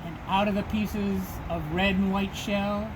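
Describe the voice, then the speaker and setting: A woman reads a children's rhyming story aloud over clear background noise. She takes her time, phrasing each line deliberately and dramatically, in a middle-pitched voice. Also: fairly even intonation. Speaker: storybook reader. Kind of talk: expressive children's read-aloud of rhyming verse.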